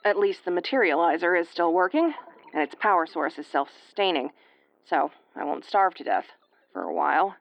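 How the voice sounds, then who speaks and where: A woman's voice: fairly low pitch, sing-song, normal speed, gravelly. A woman playing a sardonic, sweary tomboyish young starship commander in an audio roleplay, stammering when rattled but secretly tender. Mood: weary, wry.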